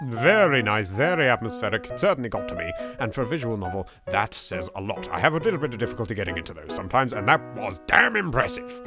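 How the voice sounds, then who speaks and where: A man with an English accent hosting a let's play, reading aloud dramatically and commenting in a theatrical, exasperated, sweary way. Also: mid-range voice. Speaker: gaming video host.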